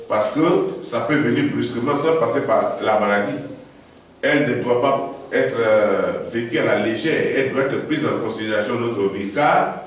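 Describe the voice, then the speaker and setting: A male pastor preaching a sermon while suffering from a head cold, speaking slowly and deliberately with emphatic rises. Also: medium pitch. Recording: very echoey, distant, faint background noise.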